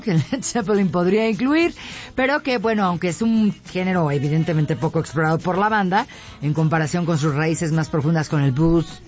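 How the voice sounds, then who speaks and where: A woman with a Mexican Spanish accent presenting music radio in a conversational, reflective and expressive manner. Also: medium pitch. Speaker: radio host. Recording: dull lo-fi radio sound, music behind. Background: background music.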